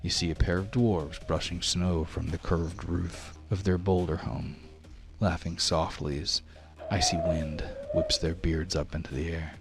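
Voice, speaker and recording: A man narrating a tabletop game as game master in a measured, theatrical storytelling manner. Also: low-pitched, fairly even intonation, creaky, music in the background. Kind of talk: dramatic scripted tabletop narration.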